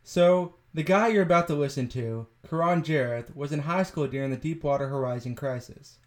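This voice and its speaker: A man's voice: on the high side, normal speed. Casual young male podcast host. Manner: casual, upbeat intros with fillers. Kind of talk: podcast interview.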